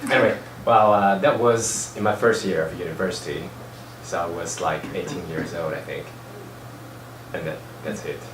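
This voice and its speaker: A man's voice: deep voice, steady tone, normal speed, crowd noise. A young man in his twenties from China, a student guest in a casual discussion, shy and laughing often. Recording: echoey room, far from mic, noisy.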